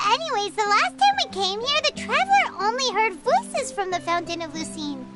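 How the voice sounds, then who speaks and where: A woman voicing a tiny, excitable floating girl companion who talks about herself in the third person, in a video game. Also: extremely high pitch, normal speed. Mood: chirpy. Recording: game audio with loud music behind.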